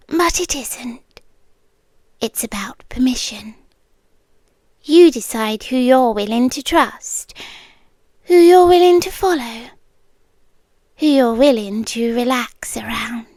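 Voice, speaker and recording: A British woman playing a soothing, possessive hypnotist girlfriend in an ASMR roleplay, speaking softly and breathily, often in a whisper, close to the microphone. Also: sits high, dry recording, exaggerated voice. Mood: doting, possessive. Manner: slow, hypnotic, coaxing.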